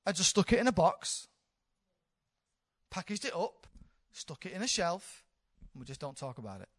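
A woman's voice: on the low side, very animated, normal speed, no room sound, clean studio sound. A woman in her thirties, a church leader, giving an informal, candid sermon with self-deprecating humour. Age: 30s.

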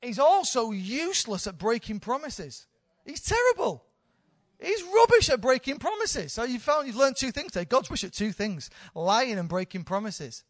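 A woman's voice: on the high side, very animated, normal speed, no echo. A woman in her thirties, a church leader, giving an informal, candid sermon with self-deprecating humour. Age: 30s.

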